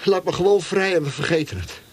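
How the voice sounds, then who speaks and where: A frightened young prisoner in a radio play, with a high, husky voice. He pleads anxiously and stammers over his words. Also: lively intonation, fast. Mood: anxious pleading. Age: young adult. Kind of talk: radio drama acting.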